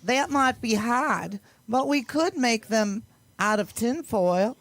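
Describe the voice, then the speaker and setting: A woman's voice: on the high side, expressive, slow, dry recording. A woman playing a curious, agreeable club newcomer in an amateur audio play.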